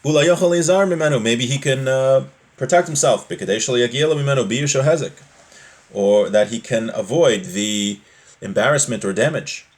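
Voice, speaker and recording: A man with a medium-pitched voice teaches an informal class on religious law. He is plain-spoken and explanatory, working through a text in simple everyday terms. He restates his points so they are easy to follow. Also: a little fast.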